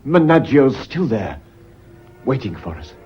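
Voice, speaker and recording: A desperate widower in a vintage radio melodrama. His mid-pitched voice swings widely, urgent, intense and theatrical, on a dull old recording. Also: big pitch swings, unhurried, soft background music. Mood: desperate, pleading. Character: desperate, intense British widower and pleading lover. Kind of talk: vintage British radio drama acting.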